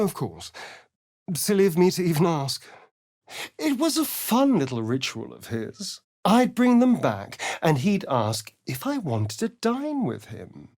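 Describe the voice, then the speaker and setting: A man's voice: medium pitch, darkish tone, dry recording, clean studio sound, exaggerated voice. A man playing a vain, sardonic vampire rogue in a video game, with an arch, theatrical, drawling delivery. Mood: bitter, dramatic. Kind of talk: video game character voice lines.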